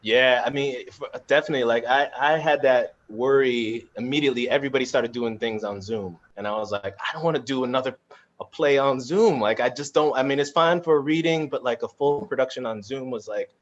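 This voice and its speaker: A man hosts an informal live panel chat in a mid-range voice, pausing and restarting as he goes. The sound is slightly dull, like a home video call. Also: mid-range voice. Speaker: host and moderator of a cast chat. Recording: muffled video-call audio.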